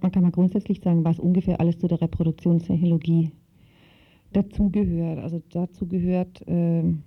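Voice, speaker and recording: A woman being interviewed, explaining things informally and colloquially, often halting to search for words. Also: on the low side, little pitch movement. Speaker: interviewee knowledgeable on the subject. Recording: dull lo-fi interview tape.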